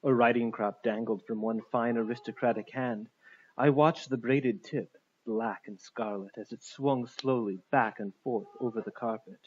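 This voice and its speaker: Male audiobook narrator, husky mid-pitched voice, literary prose read, slightly lo-fi sound. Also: fairly even intonation, normal speed, a little mellow.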